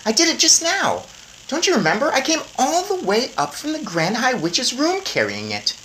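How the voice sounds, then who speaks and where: A woman's voice: high-pitched, huge pitch swings, moderate pace, a bit bright, slight background noise, voice pushed up, heavily acted, poor audio. A woman voicing a small, plucky boy turned mouse in a storybook, earnest and eager. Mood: determined.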